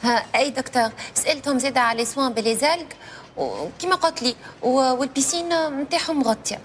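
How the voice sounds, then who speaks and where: A woman plays a friendly, efficient Tunisian secretary in a cartoon sitcom. Her voice is high and quick, with faint noise in the background. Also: lightly acted. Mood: helpful, coy. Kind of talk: animated sitcom dialogue.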